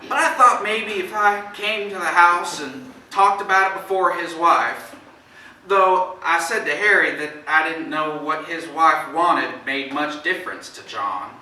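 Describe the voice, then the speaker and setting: A man acting a plain-spoken farmer in an amateur stage play, telling his story in a folksy, halting way and dramatizing the quotes. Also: on the high side, sharp tone. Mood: earnest, rambling. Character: plain-spoken neighboring farmer recounting a shocking visit. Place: theatre stage, indoors. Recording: echoey stage from far off, faint noise.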